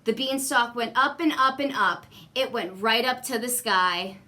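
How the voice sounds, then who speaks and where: Slow, bright-voiced woman reading a storybook. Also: a little high. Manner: deliberate repetition and emphasis for young listeners. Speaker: guest story reader. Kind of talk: expressive children's storybook reading.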